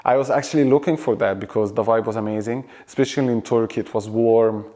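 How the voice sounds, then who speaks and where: A man, an Arabic-speaking actor and drama facilitator answering an interview question in a relaxed, friendly way, often repeating words. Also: lowish voice, quick pace. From Egypt. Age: 30s.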